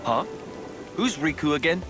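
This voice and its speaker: A man's voice: a little high, expressive, moderate pace, music in the background, natural voice. A man with a British accent playing a breezy, easygoing young adventurer in a video game. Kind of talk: video game cutscene acting.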